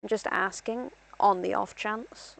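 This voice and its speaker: A woman's voice: medium pitch, slow, creaky, fairly bright tone, poor audio. A young woman from Ireland rambling through casual gameplay commentary as a streamer, self-deprecating and talking to herself.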